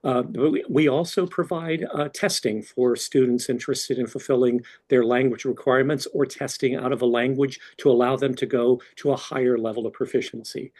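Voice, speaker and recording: Husky-voiced male professor. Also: mid-range voice, fairly even intonation, a little fast, few pauses, darkish tone. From Mexican background. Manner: earnest, restarts often. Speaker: language center director and professor. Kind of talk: welcome remarks at a panel. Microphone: video call.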